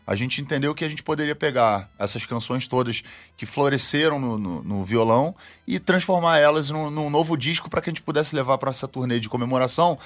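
A man's voice: medium pitch, brisk. A man, a band member guest, explaining steadily in an interview. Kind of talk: interview answer.

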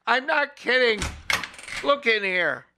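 An actress in a radio play playing an earnest, anxious museum security guard. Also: female voice, on the high side, expressive, takes it slowly, noisy background. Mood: grave, anxious.

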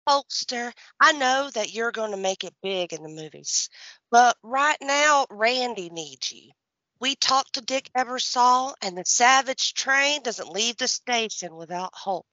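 Persuasive, devoted wrestler's wife and manager played by a woman, crisp bright tone, fairly high, comic audio play. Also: animated, measured pace, no room sound, muffled recording. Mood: persuasive, eager. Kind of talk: comedic audio play acting.

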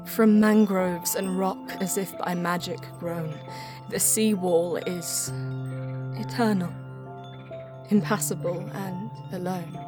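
A woman playing a solemn, guarded alien explorer in a science fiction audio drama, speaking softly and with deliberate care. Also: lowish voice, steady tone. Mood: solemn awe. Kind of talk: science fiction audio drama, poetic first-person narration. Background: music.

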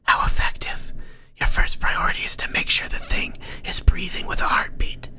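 A man voicing a calm, clinical male spirit who gives advice in a whisper. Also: normal speed.

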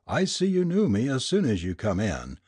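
A man voicing a sly male ex-convict who teases in rough slang. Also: mid-range voice, huge pitch swings, normal speed, darkish tone, no echo, studio quality, voice pushed up. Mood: teasing.

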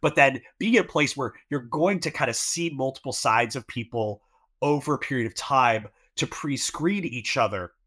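A man with a bright, forward voice talks through his ideas in a casual podcast chat. He explains thoughtfully, leaning on fillers as he goes. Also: medium pitch, lively intonation, normal speed. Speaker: podcast co-host.

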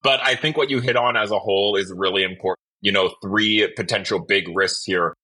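A man hosting a podcast interview in a conversational, measured and thoughtful way. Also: on the low side, fairly even intonation, bright, forceful tone, breathy edge.

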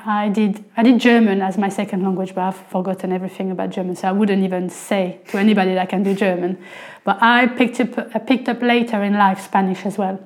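A woman's voice: medium pitch, a little mellow. French woman chatting with many fillers. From northern France. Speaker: guest, language coordinator. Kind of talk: casual podcast conversation.

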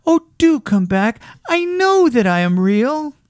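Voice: mid-range voice, very animated, unhurried, dry recording, clean studio sound, voice pushed up. A narrator voicing a small, proud, wistful male toy rabbit in a children's storybook, speaking in a childlike, earnest, defensive way. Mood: pleading.

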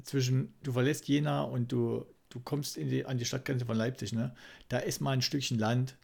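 A man with a gravelly, fry-laden voice of middling pitch chats as a podcast host. He rambles reflectively and keeps tagging his sentences to check that his listener agrees. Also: level tone, moderate pace, darkish tone. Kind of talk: casual conversational chat.

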